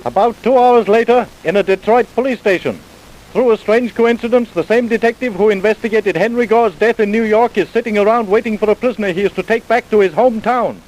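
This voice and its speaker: A man's voice: high voice, fairly quick, darkish tone, lightly acted, low-quality recording. A man as narrator, delivering dramatic scripted narration for an old-time radio crime story. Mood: urgent storytelling. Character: male narrator linking crime story scenes. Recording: hissy vintage radio recording.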